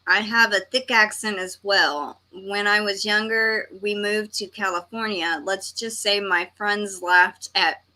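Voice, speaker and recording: A woman's voice: mid-range voice, steady tone, a little slow, few pauses, sharp tone. A woman from Texas, hosting a livestream and casually reading comments aloud. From Texas, United States. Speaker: livestream host.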